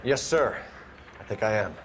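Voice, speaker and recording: An actor playing an earnest, hard-edged young American police detective in a video game, formal and pressing. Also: male voice, lowish voice, expressive, moderate pace, a little hiss or hum, close to an everyday voice. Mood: dutiful, eager. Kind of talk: video game cutscene acting.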